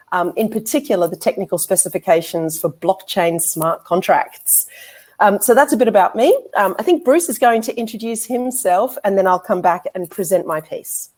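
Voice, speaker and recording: Middle-aged Australian woman, conference speaker, articulate and measured with frequent ums, earbud mic on a video call. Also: mid-range voice, darkish tone. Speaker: law lecturer presenting. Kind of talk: conference presentation. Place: indoor home office with bookshelves. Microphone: wired earbuds on a video call.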